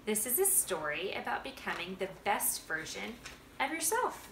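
A woman in her thirties speaks as a storytime reader, with a bright voice. She is in a live-sounding living room, recorded a few feet from the camera with faint noise. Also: medium pitch, big pitch swings, moderate pace. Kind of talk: prayer and storybook reading aloud. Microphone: camera a few feet away. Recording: roomy home recording, faint background noise.

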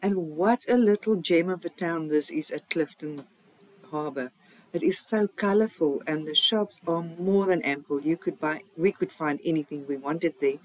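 A woman's voice: low voice, a little mellow, rough recording. A woman with a South African accent giving chatty, informal voice-over narration for a travel vlog.

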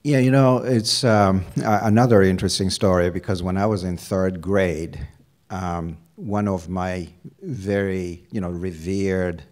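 A middle-aged man from Uganda, a university provost, answering interview questions on a campus stage with a handheld mic, measured and full of fillers. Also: low voice, fairly even intonation, darkish tone. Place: lounge stage before an audience. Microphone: handheld microphone.

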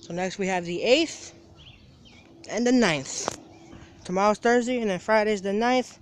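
Teen male vlogger, high voice, rambling and repetitive, phone mic outdoors with light background noise. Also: a little slow. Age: teens. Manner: rambling, repeats himself, restarts sentences. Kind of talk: casual daily vlog. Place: outdoors by a parking lot and lawn. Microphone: phone held at arm's length.

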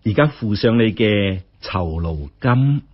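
A man voicing a gracious, well-to-do wife in a story, with polite, measured speech. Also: lowish voice, lively intonation, breathy edge, exaggerated voice. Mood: gracious, grateful. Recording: dull, muffled lo-fi audio.

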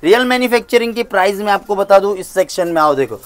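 A fairly high-pitched man in his thirties talks up his wares with emphatic, persuasive conviction. He walks and gestures through a large indoor showroom as he speaks. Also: animated, fast. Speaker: saree wholesaler. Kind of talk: sales pitch in a shop interview.

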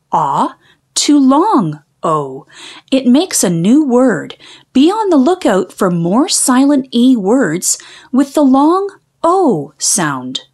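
A woman reading a children's phonics storybook aloud in a careful, deliberate way, stretching out key sounds. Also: high-pitched, a little mellow.

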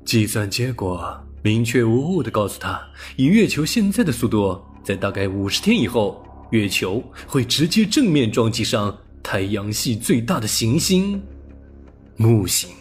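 A man's voice: on the low side, very animated, a little slow. A man reading a science fiction audiobook as narrator, in a scripted, dramatic storytelling style. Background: music.

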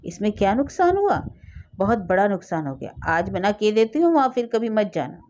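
A woman's voice: mid-range voice, big pitch swings, moderate pace, darkish tone, muffled recording, close to an everyday voice. A woman voicing a hot-tempered younger sister in a village story, curt, scolding and commanding.

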